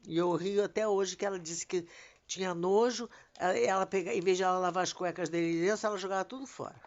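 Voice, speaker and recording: A woman's voice: fairly low pitch, fast talker, highs cut off. An older woman, a grandmother, telling family memories in a rambling, casual conversation. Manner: rambling storytelling.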